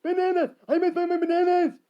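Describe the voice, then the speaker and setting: A storyteller voicing a frantic monkey who yells obsessively about its lost bananas. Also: flat delivery, fast talker, highs cut off. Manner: shrill yelling.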